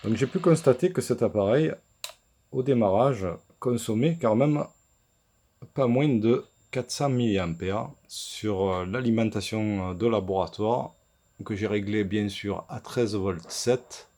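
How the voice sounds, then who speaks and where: Mellow low-voiced man explaining, halting delivery. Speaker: presenter, radio repair technician. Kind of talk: unscripted workshop demonstration. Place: indoor electronics workshop.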